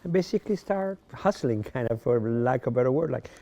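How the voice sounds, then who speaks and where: A man in his sixties from Morocco, raised in France, telling his life story as a podcast guest in a halting way, often repeating words. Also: medium pitch, lively intonation, vocal fry, over a noisy crowd. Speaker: podcast guest, fashion designer. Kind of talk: casual interview storytelling.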